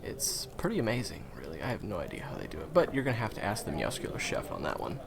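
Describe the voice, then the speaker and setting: A man's voice: on the low side, huge pitch swings, quick pace, vocal fry, crowd noise, exaggerated voice. A man acting in an audio roleplay as a friendly, awkward, eager young guide to monster hunters, talking hesitantly and stammering to the listener. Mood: helpful, explaining.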